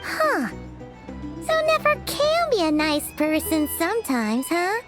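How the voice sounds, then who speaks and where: Casting: tiny, chatty game fairy sidekick, extremely high squeaky voice, swooping and perky, music behind. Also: female voice, wildly swooping pitch, slow pace, exaggerated voice. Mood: surprised. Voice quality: squeaky, childlike. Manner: refers to herself in third person, perky. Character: small childlike chatty fairy companion, eager and curious. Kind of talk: video game character acting.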